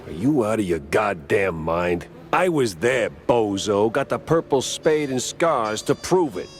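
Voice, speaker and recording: A man playing a gruff, hardboiled mouse detective in a noir video game, world-weary, stubborn and dry in his tough talk. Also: on the low side, animated, measured pace. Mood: indignant. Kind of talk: noir game narration and dialogue. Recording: music behind the voice. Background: prominent background music.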